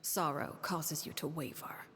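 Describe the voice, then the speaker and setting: A woman playing a stern head of a criminal household in a video game, speaking formally with cold, measured authority. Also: low-pitched, steady tone, noisy background. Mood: cool, grave. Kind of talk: scripted game character acting. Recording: game music behind.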